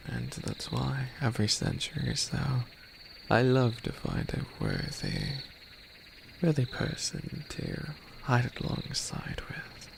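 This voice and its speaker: An ancient, playful skeleton overlord, played by a man, speaks softly in a heavily creaky, fry-laden voice that roams freely up and down. The wording is formal and old-fashioned, often hesitant, slipping toward a whisper in an ASMR roleplay. He unfolds sentences slowly, curious and puzzled by humans, over faint background noise. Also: medium pitch, heavily acted. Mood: amused, nostalgic. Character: centuries-old skeleton demon lord, playful, curious, bewildered by modern humans.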